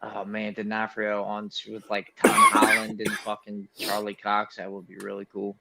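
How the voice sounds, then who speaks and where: A man's voice: fairly low pitch, monotone, normal speed, vocal fry, noisy background. A man chatting casually as a panelist on a fan show, blunt and slangy in the way he talks. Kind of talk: casual chat.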